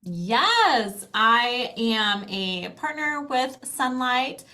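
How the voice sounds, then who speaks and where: A woman's voice: a little high, very animated, very slow, sharp tone. A woman speaking as an interview guest, explaining things conversationally with acted-out quotes and frequent tag questions. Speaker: interview guest, company founder.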